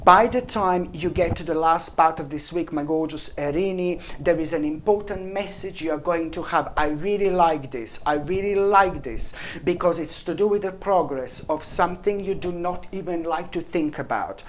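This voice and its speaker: Low-voiced woman giving a tarot reading. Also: normal speed, hardly any pauses, slight background noise. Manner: effusive, repetitive, addresses listener as "my gorgeous" and "baby". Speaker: tarot reader. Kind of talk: weekly tarot reading to camera.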